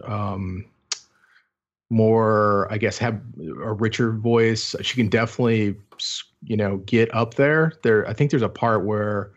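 Low-voiced man musing over an album. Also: fairly even intonation, a little slow, muffled recording. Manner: thoughtful, meandering, many restarts. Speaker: podcast co-host. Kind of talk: casual album discussion.

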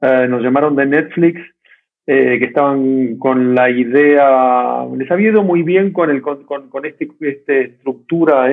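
A man's voice: on the high side, gravelly. A man with an Argentine accent, answering interview questions conversationally as a guest. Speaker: interview guest, documentary director. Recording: tinny, narrow phone-like call audio.

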